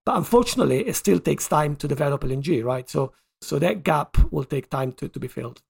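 A man's voice: a little high, expressive, brisk, creaky, darkish tone, husky. A man speaking as an energy analyst guest on a podcast, hesitant and repeating words as he explains. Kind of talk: podcast discussion.

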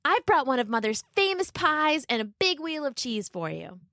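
A cheerful, well-mannered little girl from a storybook, voiced by a woman, high and earnest. Her lines bounce with animated pitch, and the sound is dry and close. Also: normal speed, dry recording, exaggerated voice. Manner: bright, earnest and polite.

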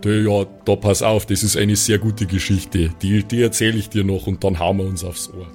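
Man playing a low-voiced, folksy southern German adventurer. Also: fairly even intonation, normal speed, darkish tone, faint music behind, close to an everyday voice. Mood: cosy, relaxed. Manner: folksy, rambling, grumbling. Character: gruff, easygoing, beer-loving male veteran soldier adventurer. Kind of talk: improvised tabletop role-play.

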